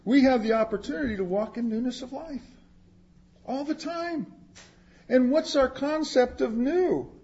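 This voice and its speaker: A man's voice: very high-pitched, unhurried, darkish tone, slightly rough, dull audio. A man preaching a sermon, reading scripture aloud and explaining it in plain words, with asides to the congregation. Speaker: pastor.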